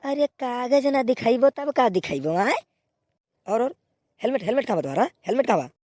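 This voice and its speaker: A woman's voice: fairly high pitch, animated, fairly quick, darkish tone, dry recording. Woman voicing a scolding village cartoon policeman, dry recording. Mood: exasperated. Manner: scolding, repetitive commands. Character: exasperated, bossy male village policeman at a checkpoint. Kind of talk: comic cartoon dialogue.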